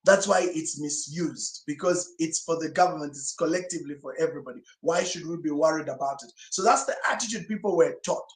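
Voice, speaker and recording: A man's voice: fairly high pitch, brisk, few pauses. A male pastor whose first language is Swahili, giving an emphatic sermon-style teaching talk full of rhetorical questions. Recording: roomy echo.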